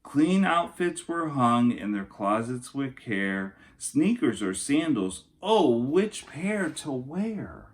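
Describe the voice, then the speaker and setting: A man's voice: medium pitch, sing-song, takes it slowly, few pauses. A man reading a storybook aloud as a teacher, expressively, speaking directly to young children.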